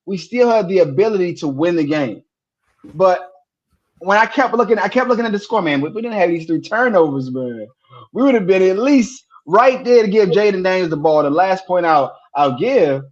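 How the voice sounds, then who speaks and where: A man co-hosting a sports podcast, talking casually and telling stories with animated repeats when excited. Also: high voice, muffled recording.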